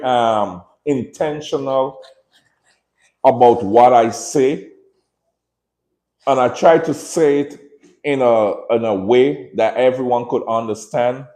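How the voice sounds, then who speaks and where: An earnest man with a Caribbean accent takes his time, speaking unhurried and reflective on a home livestream. His voice sits at an ordinary middle pitch and rings around a roomy, echoing space. He circles back on his own phrases and keeps asking listeners "right?". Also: a little mellow. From Dominica, raised in Saint Martin. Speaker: livestream host and dog breeder. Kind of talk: casual reflective livestream talk. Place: room at home with dogs. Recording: echoey room.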